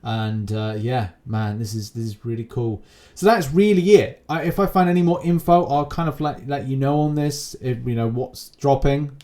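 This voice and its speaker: A man's voice: medium pitch, steady tone, normal speed, hardly any pauses, mellow. Male gaming channel host, informal and unscripted, talking in loose, repetitive, rambling commentary.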